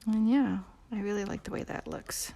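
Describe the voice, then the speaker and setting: A woman leading a live drawing tutorial in a relaxed, rambling way with many fillers, sometimes dropping to a soft near-whisper as she works. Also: mid-range voice, normal speed, creaky. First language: not Portuguese. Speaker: drawing instructor.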